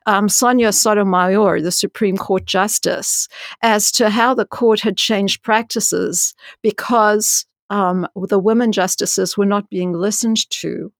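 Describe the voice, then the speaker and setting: A woman who is a dean and scientist, telling her story frankly in an interview with a loud voice, peppered with "you know" and rising for emphasis. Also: medium pitch, fairly even intonation, slow pace, dry recording, studio quality.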